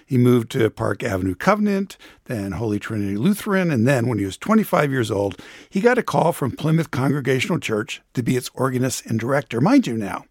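A man's voice: medium pitch, very animated, a little fast, dry recording, clean studio sound. An American man telling stories as a radio contributor in conversational reporting style.

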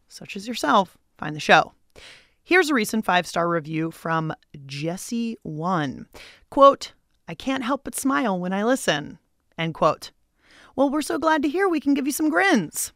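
A woman's voice: mid-range voice, big pitch swings, unhurried, fairly bright tone, dry recording, studio quality. A woman with an American accent hosting a radio show, reading scripted narration in a playful, conversational way. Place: dry studio.

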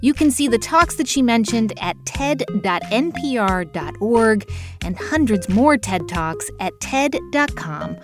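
A woman's voice: fairly high pitch, expressive, unhurried, over music. A woman hosting a radio interview in a conversational style, recorded in a studio. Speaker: interviewer, show host.

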